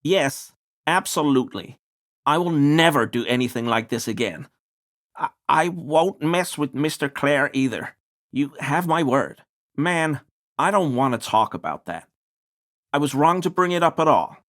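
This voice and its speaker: A man's voice: a little high, expressive, a little slow, fairly bright tone. A male actor playing a nervous, apologetic townsman in a video game, pleading and hesitant as he answers to the police. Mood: meek, evasive. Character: nervous, grovelling small-time bigoted townsman under police questioning. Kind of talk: scripted video game character dialogue.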